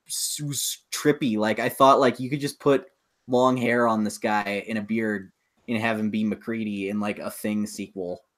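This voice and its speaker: A man's voice: fairly low pitch, steady tone, moderate pace. Man chatting casually as a podcast co-host. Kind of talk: casual chat about movies and video games.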